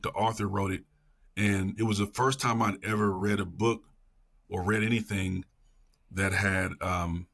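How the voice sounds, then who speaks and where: Emphatic low-voiced man with a husky edge. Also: a bit bright. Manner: emphatic, repeats phrases for effect. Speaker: podcast guest, educator. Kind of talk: casual, passionate interview talk.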